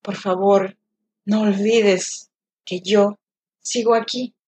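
A woman voicing a hurt inner child pleading softly. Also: mid-range voice, fairly even intonation, close to an everyday voice. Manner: pleading, reproachful, slow. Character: wounded inner child pleading with adult self.

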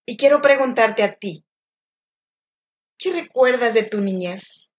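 A woman from Oaxaca with a Mexican accent hosting a solo podcast, speaking gently and encouragingly straight to the listener. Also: on the high side, slow pace, dull audio. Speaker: podcast host. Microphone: home podcast mic.

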